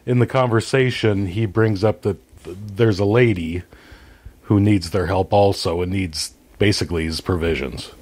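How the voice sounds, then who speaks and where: A man talking casually as a podcast guest, explaining things in a halting way and correcting himself as he goes. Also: low-pitched, a little mellow. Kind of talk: casual conversational movie discussion, retelling a story.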